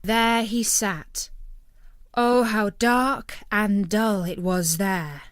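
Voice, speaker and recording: A woman narrating a fairytale as a storyteller, with an expressive and deliberate storybook delivery. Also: medium pitch, no echo, clean studio sound.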